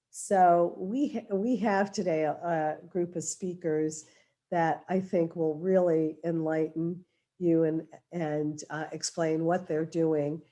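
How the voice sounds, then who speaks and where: A middle-aged woman, hoarse from a cold, introducing a panel over a video call and often restarting her phrases. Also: on the low side, warm tone. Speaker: panel moderator. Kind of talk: webinar introduction. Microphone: remote video call.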